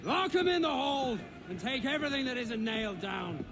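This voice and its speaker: An actor playing a rough, hearty pirate captain in a video game, with a teasing, gruff manner. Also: male voice, extremely high pitch, big pitch swings, moderate pace, background noise, heavily acted. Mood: commanding. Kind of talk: video game cutscene acting.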